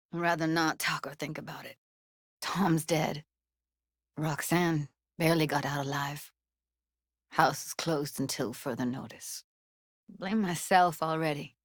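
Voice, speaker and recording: A young female tech from a cyberpunk game, streetwise and guarded with a tender side. Her voice sits a little low, in natural, casual, clipped slang. The sound is dry and close. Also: measured pace, pauses often, dry recording. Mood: downcast, weary. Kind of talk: naturalistic video game voice acting.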